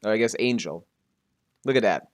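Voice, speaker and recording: A man's voice: fairly low pitch, animated, moderate pace. A man streaming a game with casual, rambling, self-questioning commentary. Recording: stream with game music behind.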